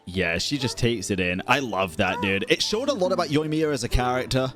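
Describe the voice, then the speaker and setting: A male gaming host talks in a casual, livestream style with a very animated, swinging pitch. He is blunt and profane, given to exasperated exclamations. Music plays behind his voice. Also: fairly quick. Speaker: host of a gaming ranking video.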